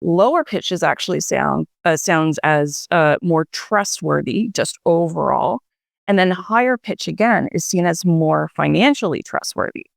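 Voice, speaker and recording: A woman's voice: fairly low pitch, animated, measured pace, vocal fry, no echo, studio quality. A woman psychology researcher explaining her findings in a radio interview, with frequent hedging fillers.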